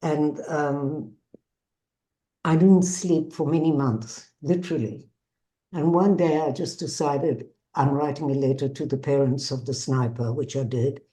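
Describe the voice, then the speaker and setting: An older woman with a South African accent gives a measured, earnest personal testimony as a bereaved mother and peace activist. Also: deep voice, fairly even intonation, mellow. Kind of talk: personal testimony to an online audience.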